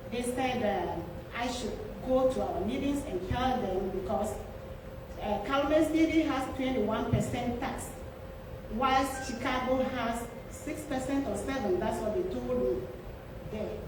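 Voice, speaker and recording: 加纳口音女人，大厅回声很重. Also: female voice, fairly high pitch. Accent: Ghanaian. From Ghana. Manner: earnest, pleading, repeats words for emphasis. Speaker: small business owner speaking at a forum. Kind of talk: public comment at a town forum. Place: large echoey church hall. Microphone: handheld mic held too far from the mouth. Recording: echoey hall, distant mic, room noise.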